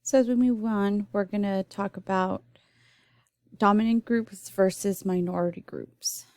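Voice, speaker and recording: A woman's voice: medium pitch, fairly even intonation, a little slow, darkish tone, dry recording. A young adult woman, born in El Salvador and raised in the US, teaching a recorded nursing lecture in an explanatory way with frequent ums and restarts. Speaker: nursing instructor.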